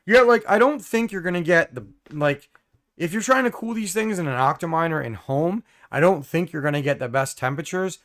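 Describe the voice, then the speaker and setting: A hoarse young man hosting a casual live stream, reading viewer comments with many fillers and throat clearing. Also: fairly high pitch, expressive, a little fast, a bit bright.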